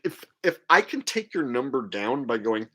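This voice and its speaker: A man's voice: mid-range voice, very animated, moderate pace, a bit bright. A man hosting a livestream, delivering a casual, crude, mocking rant in a monologue. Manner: mocking, crude, acting out mimicry. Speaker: livestream host.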